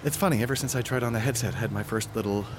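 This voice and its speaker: An actor playing Mark, a wry and bossy office worker who gets carried away by a virtual reality trip, in a satirical audio drama. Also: male voice, mid-range voice, rapid-fire, background noise, natural voice.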